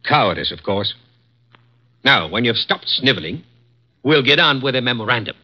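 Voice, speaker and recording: A man's voice: medium pitch, expressive, moderate pace, lightly acted. An actor playing a cold, mocking male executive in a 1950s radio drama. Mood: sneering. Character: cruel sneering male advertising executive. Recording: dull old radio broadcast.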